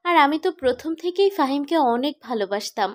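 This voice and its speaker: A woman plays a wounded but stubborn young woman in a cartoon romance. The voice is high-pitched. The delivery is insistent and emotional. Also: expressive, fast talker. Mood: hurt, resolute. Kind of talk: animated romantic drama dialogue.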